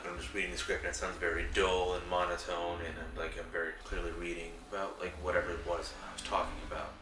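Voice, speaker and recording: A young man vlogging casually to camera, a little raspy from a cold, rambling with many fillers in a small room at night. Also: low voice. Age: young adult. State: recovering from a cold, slightly raspy. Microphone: camera a few feet away. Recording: roomy, distant mic, faint buzzing hum.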